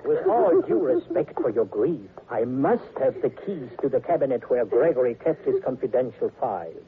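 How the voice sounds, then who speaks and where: An actor playing a pushy, formal doctor with unctuous politeness in a 1940s radio drama. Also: male voice, medium pitch, wildly swooping pitch, normal speed, a crowd in the background. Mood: officious. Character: officious, smooth, pushy male psychiatrist colleague. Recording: muffled narrow old radio recording.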